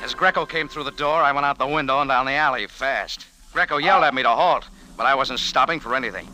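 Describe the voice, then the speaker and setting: An actor playing a tough, wisecracking American café owner and adventurer, clipped and sardonic, in old-time radio style. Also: male voice, medium pitch, fast talker, pushed and bright, faint music behind, low-quality recording. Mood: tense narration. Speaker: lead actor and narrator. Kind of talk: old-time radio detective acting and narration.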